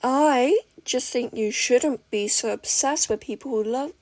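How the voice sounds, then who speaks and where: A woman voicing a gentle, patient teenage boy tinkerer who speaks calmly and reassuringly. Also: sits high, measured pace. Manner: calm and reassuring.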